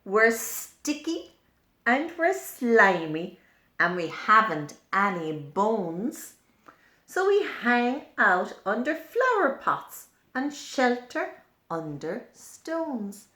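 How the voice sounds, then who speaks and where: A woman's voice: fairly high pitch, big pitch swings, very slow, sharp tone, echoey room, voice pushed up, full character voice. A woman voicing cheerful little garden bugs from a picture book in emphatic, sing-song rhyme. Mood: proud, playful. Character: cheerful little garden minibeasts boasting about themselves. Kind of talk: picture-book character read-aloud.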